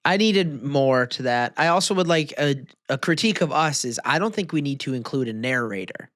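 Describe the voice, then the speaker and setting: A man's voice: medium pitch, animated, a little fast, fairly bright tone, no echo, studio quality. A man chatting casually as a podcast co-host, slangy and full of questions. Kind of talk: casual chat.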